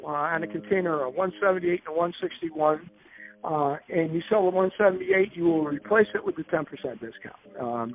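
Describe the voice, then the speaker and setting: Halting man on a tinny phone line. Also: sits high. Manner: halting, hesitant delivery that circles back to restate points. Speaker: company presenter leading a dealer conference call. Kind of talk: informal business presentation over slides. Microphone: telephone.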